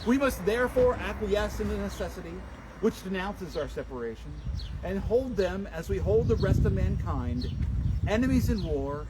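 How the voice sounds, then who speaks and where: A man giving a solemn, dramatic public reading of a historical document, with deliberate, declamatory phrasing. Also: sits high, animated. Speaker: public reader and livestream host. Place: outdoors at a town memorial. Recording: noisy outdoor livestream, traffic and street sounds.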